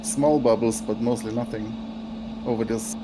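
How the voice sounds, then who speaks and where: A man's voice: medium pitch, steady tone, normal speed. German-native man chatting over noisy comms. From Italy. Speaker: glider sim pilot. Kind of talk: casual chat over voice comms.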